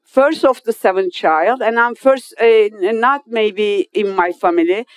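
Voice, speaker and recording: A Turkish woman in her fifties with a Turkish accent, a non-native English speaker giving a formal speech to an audience. She speaks haltingly, with many hesitations, restarts and loose grammar. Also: medium pitch, smooth, dry recording. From Turkey. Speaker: guest speaker at an inauguration.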